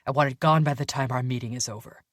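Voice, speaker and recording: A woman voicing a big, intimidating male boss in an audiobook, speaking in a gruff, curt, bossy manner. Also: rumbling low, steady tone, fast, no room sound, clean studio sound. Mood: stern.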